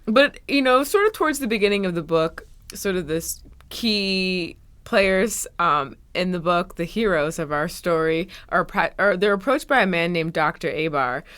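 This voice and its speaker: A woman's voice: lowish voice, normal speed, hardly any pauses, fairly bright tone, clear, resonant tone. A woman hosting a podcast, speaking in both scripted narration and conversational interview.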